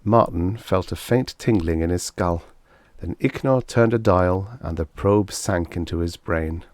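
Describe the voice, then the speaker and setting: A man's voice: low-pitched, a little mellow, no room sound. A man reading a scripted story as an audiobook narrator.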